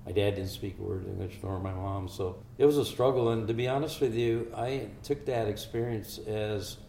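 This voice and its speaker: An older man from Hungary, a physician, giving measured, explanatory answers in an interview. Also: lowish voice, creaky, dark tone, a little hiss or hum. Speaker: physician guest. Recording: echoey room with faint ambience.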